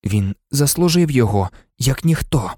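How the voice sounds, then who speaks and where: Woman doing a teen boy hero in an audiobook, earnest, kinda breathless, insistent, lively pitch, dry sound. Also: normal speed, slightly rough, no echo, heavily acted. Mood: firm. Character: brave, earnest, resolute teenage boy.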